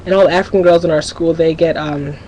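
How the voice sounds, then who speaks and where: A woman's voice: low voice, steady tone, fast, dark tone, slight background noise, low-quality recording. A teenage girl from Minnesota talking candidly to her webcam at home in a rambling vlog, often restarting her sentences. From Minnesota, USA. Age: teens. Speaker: vlogger. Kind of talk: casual confessional webcam vlog.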